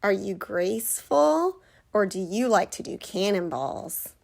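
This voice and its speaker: A woman reads a picture book aloud in the manner of a kindergarten teacher. Her delivery is slow and expressive, with a noticeably creaky edge to a medium-pitched voice. She pauses to engage young listeners. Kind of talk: animated children's storybook read-aloud.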